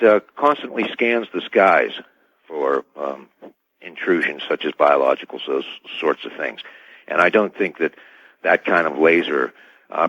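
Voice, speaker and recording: An American man speaking as an expert guest in a radio interview, with a halting, hedging delivery. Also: very deep voice, vocal fry, a bit bright, slightly rough, no room sound. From United States. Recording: dull band-limited radio line.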